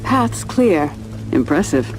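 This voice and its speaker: A woman playing a brisk, commanding warrior in the field in a video game. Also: sits low, very animated, over music, heavily acted, low-quality recording. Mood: alert.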